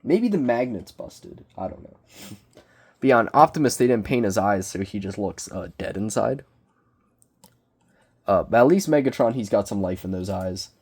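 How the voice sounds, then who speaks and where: A young man with a cold, sniffling as he reviews a collectible figure in casual, self-deprecating, excitable rambling. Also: lowish voice, moderate pace, long pauses, breathy edge. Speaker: toy reviewer. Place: small bedroom.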